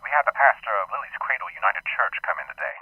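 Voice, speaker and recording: A man playing a businesslike male police sergeant, brisk and matter-of-fact, in a student radio drama. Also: medium pitch, big pitch swings, breathy edge, no room sound. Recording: tinny phone-like, dry sound.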